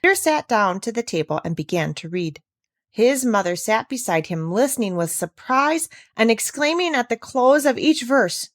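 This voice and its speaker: A woman's voice: mid-range voice, no room sound. A woman with a mid-range voice reads a children's classic aloud. She works as the storybook narrator, following the text, and the recording is dry with no room sound.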